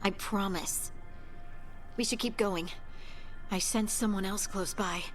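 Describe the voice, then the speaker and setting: A woman's voice: medium pitch, fairly even intonation, slow pace, faint music behind, close to an everyday voice. A woman voicing a determined, caring dwarf scout in a video game. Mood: reassuring, determined.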